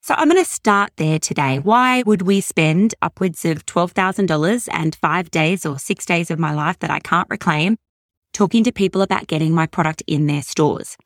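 A middle-aged woman hosting a podcast, upbeat, affectionate and a bit salesy, with a voice gone husky from overuse. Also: on the low side, moderate pace, dry recording, clean studio sound. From Australia. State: hoarse from overuse. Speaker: podcast host. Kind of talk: podcast monologue.

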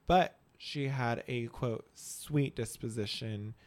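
A man's voice: medium pitch, takes it slowly, a little mellow. A man co-hosting a salon podcast, chatting casually and playfully teasing his guest. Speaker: podcast co-host. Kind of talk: casual chat.

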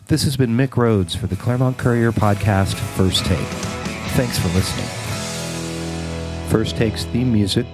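A man's voice: lowish voice, unhurried, music in the background. A man reading scripted news narration as a podcast host and reporter.

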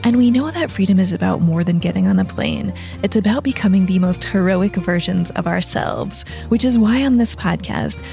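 A woman's voice: mid-range voice, gravelly, warm tone. A woman hosting a travel podcast, reading a polished, upbeat intro and then interviewing her guest. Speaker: podcast host.

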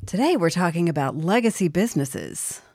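Woman co-host, lively banter, lowish pitch, dry sound. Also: fairly quick, no room sound. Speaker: podcast co-host. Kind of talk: podcast banter.

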